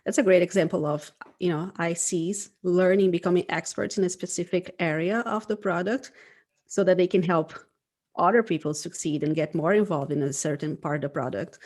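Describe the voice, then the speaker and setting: Female design manager, lowish voice, thoughtful and conversational, checks in with "right?". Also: normal speed, a little mellow. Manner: thoughtful, frequent "right?" tags. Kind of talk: casual conversation.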